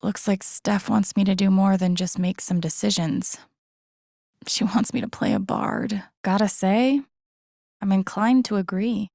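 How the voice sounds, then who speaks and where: Video game lead: wry young woman, empathetic amateur sleuth, casual inner monologue, creaky voice, very dry close recording. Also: medium pitch, fairly even intonation, unhurried, warm tone, no echo. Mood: thoughtful. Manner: casual, muttering to herself, dry humor.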